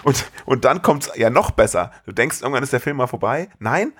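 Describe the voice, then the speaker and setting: A man co-hosting a podcast, chatting casually about films in an animated, incredulous way full of colloquial exclamations. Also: mid-range voice, a little fast, husky. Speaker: podcast co-host. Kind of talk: casual film review chat.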